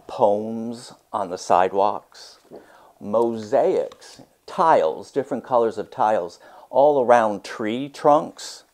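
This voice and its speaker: A man teaching and reading a storybook aloud with deliberate, emphatic, expressive phrasing. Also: lowish voice.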